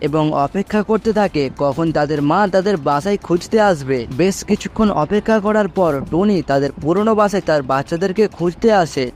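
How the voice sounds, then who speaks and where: A man's voice: high voice, a little fast, hardly any pauses, a little hiss or hum. A man reading scripted narration for a children's cartoon story.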